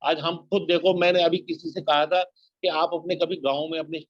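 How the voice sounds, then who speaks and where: A man's voice: on the high side, flat delivery, moderate pace, dry recording. A man giving an earnest motivational talk on network marketing, exhorting listeners and repeating his points. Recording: dull lo-fi, dry sound.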